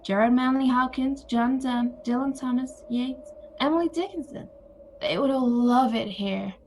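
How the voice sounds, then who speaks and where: Audio drama: actress as older sister, ex-teacher, high voice, calm and dryly humorous, some noise behind. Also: female voice, moderate pace. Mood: reflective. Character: calm wry older sister Bea, former literature teacher. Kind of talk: audio play acting.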